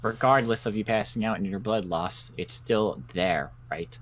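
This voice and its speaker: A man's voice: lowish voice, moderate pace, a bit bright. A man playing a blunt, pragmatic doctor in an improvised zombie roleplay game, dry, curt and sarcastic. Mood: curt, businesslike.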